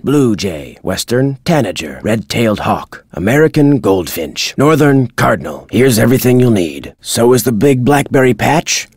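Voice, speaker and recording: A man playing a crotchety, folksy birdwatcher in a video game, speaking like a deliberate local storyteller with a husky voice. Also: mid-range voice, darkish tone, no echo, studio quality, exaggerated voice. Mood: plain, informative. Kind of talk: video game character dialogue.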